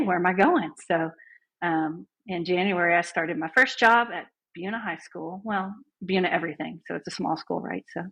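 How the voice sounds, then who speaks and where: A woman. Her voice is husky and a little breathy, and sits on the low side. She talks as a chatty, upbeat guest recounting her own life. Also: fairly bright tone, low-quality recording. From Southeast Texas. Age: 40s. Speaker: guest band director. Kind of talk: casual podcast interview.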